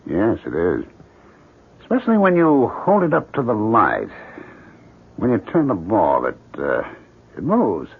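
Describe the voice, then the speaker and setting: An actor playing a self-assured, opinionated painter and husband in a 1970s radio drama. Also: male voice, fairly low pitch, huge pitch swings, measured pace, pauses often, breathy edge, exaggerated voice. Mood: intrigued. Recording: muffled lo-fi 1970s radio broadcast.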